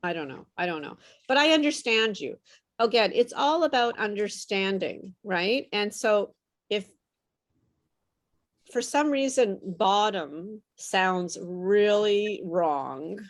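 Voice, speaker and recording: Woman, pronunciation teacher, unhurried delivery, mid-pitched, patient, encouraging. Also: lively intonation. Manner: patient, encouraging, explains with repeated example words. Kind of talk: online group pronunciation lesson.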